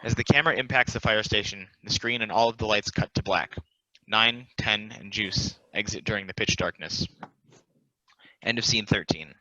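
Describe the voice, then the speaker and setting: A man's voice: on the low side, moderate pace, pushed and bright, no echo, dull audio. A man reading out stage directions as scripted narration for a play.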